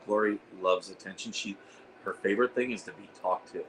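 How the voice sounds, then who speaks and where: Hoarse man chatting on a livestream. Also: fairly low pitch, fairly quick, noisy background. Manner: rambling, reads chat aloud, frequent fillers. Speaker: host of a veterans livestream. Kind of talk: casual livestream chat. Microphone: iPad.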